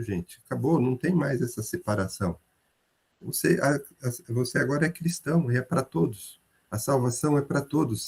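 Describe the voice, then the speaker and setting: A man leading a group Bible study over a video call, explaining slowly and lifting his voice when he acts out quotes. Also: mid-range voice, vocal fry, a little mellow, breathy edge.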